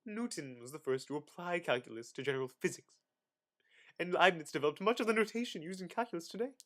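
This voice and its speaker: A man's voice: fairly high pitch, expressive, fast. A young man giving a dramatic reading of an encyclopedia article, with theatrical, exaggerated emphasis. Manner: theatrical, exaggerated emphasis with sudden loud and hushed phrases. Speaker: student reader.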